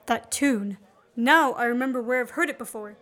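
A woman acting the part of a brisk, impatient man on the run in an amateur radio play. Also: a little high, fairly bright tone, natural voice. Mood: earnest, urgent. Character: Richard Hannay, wronged male fugitive, brisk and impatient. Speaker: student actor.

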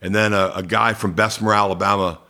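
Man, fifties, American accent, low voice, casual podcast guest, conversational storytelling. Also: fairly even intonation, fast, pushed and bright. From Columbus, Georgia, United States. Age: 50s. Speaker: podcast guest, sports announcer and voice actor.

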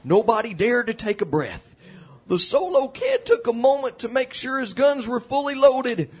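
A man's voice: very high-pitched, big pitch swings, normal speed, breathy edge, exaggerated voice. A man playing an earnest, bookish young sidekick who narrates a comic western audio drama with grand drama. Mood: suspenseful.

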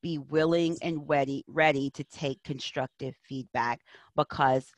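A woman's voice: low-pitched, fairly even intonation, a little slow, dry recording. A woman speaking as an interview guest, a counselor and educator, giving earnest, emphatic advice in reflective answers.

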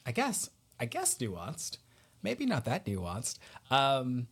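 A man's voice: medium pitch, huge pitch swings, slow, husky. A man hosting a podcast in casual interview style, searching for his words as he talks.

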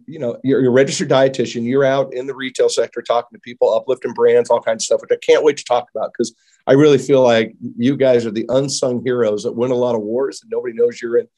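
A man's voice: medium pitch, mellow. A man hosting a casual interview podcast, enthusiastic and jokey, rambling as he welcomes his guest. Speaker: podcast host.

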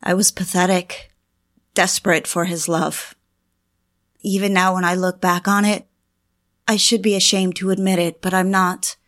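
A woman's voice: fairly low pitch, little pitch movement, normal speed, long pauses, a bit bright, close to an everyday voice. Woman playing an anguished, lovelorn housewife. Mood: wistful, ashamed. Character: anguished, obsessive, lovelorn housewife confiding in her diary. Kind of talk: intimate first-person audio drama narration.

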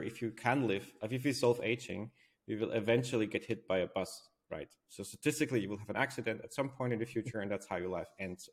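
A man from Switzerland with a fairly low voice answers as a podcast guest. He talks in a conversational way, laying out his thoughts in a reflective, explanatory style. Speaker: podcast guest, robotics company founder.

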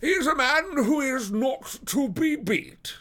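A man voicing a downcast, deferential police detective in an audiobook, speaking formally in a slow, drawn-out, confidential manner. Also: extremely high pitch, lively intonation, pushed and bright, voice pushed up, heavily acted. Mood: confidential, admiring. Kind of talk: dramatised audiobook character voice.

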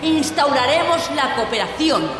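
An actress playing an idealistic revolutionary leader giving a solemn, declamatory political speech, echoing as in a big hall. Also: female voice, high voice, animated, measured pace. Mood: fervent. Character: idealistic revolutionary woman leader addressing the masses. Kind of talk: political speech in an audio drama. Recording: echoing hall reverb under loud music.

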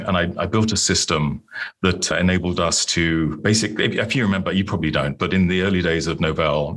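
British male guest, 50s, low and breathy, wry and self-deprecating, chatty on a call-in line. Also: a bit bright. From United Kingdom. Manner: rambling, self-deprecating, with restarts. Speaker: podcast guest. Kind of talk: casual interview storytelling. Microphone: remote call-in.

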